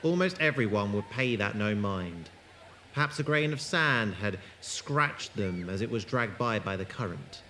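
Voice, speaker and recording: An actor playing an eccentric, wordy alien time traveller in an audio drama, grandiose and wry. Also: male voice, fairly low pitch, lively intonation, measured pace, sharp tone, a little hiss or hum. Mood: ominous, hushed.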